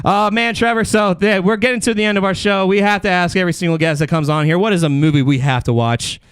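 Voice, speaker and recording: Casting: male comedy podcast host, smooth high-pitched voice, dry close-mic sound, loose sweary banter. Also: fairly even intonation, fast talker, a bit bright, no echo. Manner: informal, profane, playfully teasing. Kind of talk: casual comedic chat with game-show bits. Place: podcast studio.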